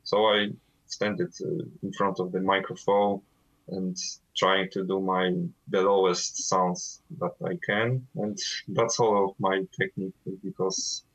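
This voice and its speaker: A man's voice: deep voice, steady tone, a bit bright, muffled recording. Langzame Poolse man, dof gesprek. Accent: Polish. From Poland. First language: Polish. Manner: halting, hesitant non-native English with many fillers. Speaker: guest vocalist of a death metal band. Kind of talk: interview over a call.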